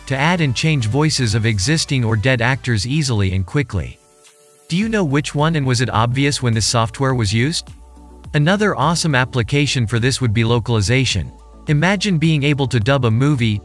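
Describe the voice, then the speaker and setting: A man from Germany presenting a video as scripted narration. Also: mid-range voice.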